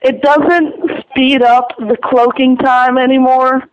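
A caller leaving a casual, hesitant voicemail tip in a flat manner. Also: high voice, slow pace, clear, resonant tone, no room sound. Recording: dull lo-fi phone recording.

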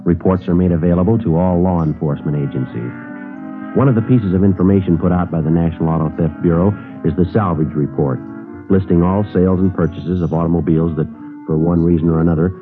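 A thin vintage radio recording with faint music carries a man acting a police sergeant narrator. His voice is low and quick, clipped and deadpan, delivering scripted facts in 1950s radio style. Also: natural voice. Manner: clipped, deadpan, matter-of-fact. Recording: phone-like vintage radio broadcast.

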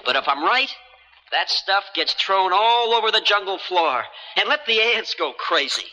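An actor playing a wry, stubborn, suspicious adventurer in a radio drama, with hesitant, colloquial asides. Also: male voice, high voice, lively intonation, normal speed, sharp tone, big-room sound, lo-fi sound, heavily acted. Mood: stubborn, defiant. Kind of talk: 1970s American radio drama acting. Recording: vintage radio drama broadcast.

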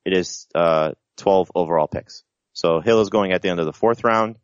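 A man's voice: deep voice, moderate pace, smooth, dry recording, highs cut off. A man on a sports podcast panel, talking casually with many hesitations.

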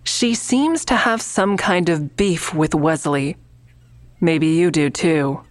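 A woman playing a guarded, earnest woman in an audio drama, speaking in a measured, evasive way. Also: low voice, dry recording. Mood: guarded, insistent. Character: guarded, earnest woman negotiating with police, also narrating. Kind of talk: naturalistic audio drama acting and narration.

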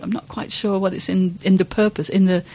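A woman's voice: mid-range voice, little pitch movement, fast talker, dark tone. A woman co-hosting a podcast, chatting casually about film in an emphatic, wry, informal way. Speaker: podcast co-host.

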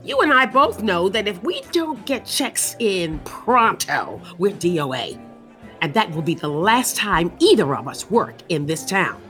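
A woman playing an exasperated, sharp-tongued Broadway co-producer in an audio comedy, sardonic and emphatic. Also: medium pitch, big pitch swings, a little slow. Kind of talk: scripted audio comedy acting. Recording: roomy, distant, music and crowd behind.

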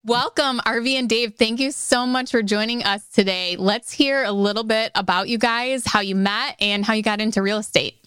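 A woman's voice: a little high, fairly even intonation, moderate pace, few pauses, a bit bright, clear, resonant tone. A woman co-hosting a podcast interview, asking friendly questions. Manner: friendly questions, laughs along. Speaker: podcast co-host. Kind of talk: casual interview chat.

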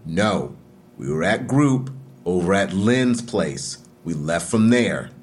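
A man's voice: sits low, lively intonation, takes it slowly. An actor playing a guarded, irritable man under police questioning in an audio drama, curt, defensive and evasive.

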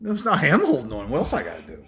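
A man hosting a podcast in casual banter, loud and boisterous, teasing his guest and swearing freely. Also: on the high side, wildly swooping pitch, fast, a little hiss or hum. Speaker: podcast host.

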